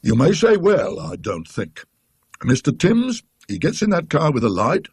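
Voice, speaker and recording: A man's voice: a little high, wildly swooping pitch, unhurried, a little mellow, breathy edge, no echo, studio quality, voice pushed up, heavily acted. A man voicing a talkative, indignant working-class British tram conductor in a ghost story, rambling and emphatic in his colloquial speech.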